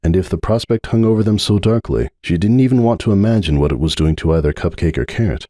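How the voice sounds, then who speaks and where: Low, mellow male story narrator. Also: animated, quick pace, dry recording, clean studio sound. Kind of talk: dramatic fan-fiction audiobook narration.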